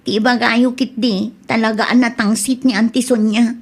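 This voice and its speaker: A woman's voice: fairly high pitch, steady tone, normal speed. A woman acting an earnest, protective adult daughter in an Ilocano-accented radio drama. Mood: indignant. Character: protective, indignant adult daughter fretting over her father.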